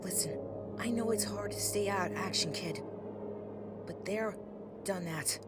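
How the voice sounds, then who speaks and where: A man's voice: high voice, unhurried. A man voicing a firm but caring young female mentor in an earnest, instructive comic dub. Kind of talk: comic dub dramatic dialogue. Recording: loud music behind.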